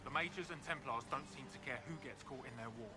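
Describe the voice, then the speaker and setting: An actor playing a weary, dutiful corporal in a fantasy game, plain-spoken and a little wry. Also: male voice, medium pitch, fairly quick, over music, natural voice. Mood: weary, concerned. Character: weary, dutiful male army corporal managing refugee aid. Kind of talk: scripted fantasy game dialogue.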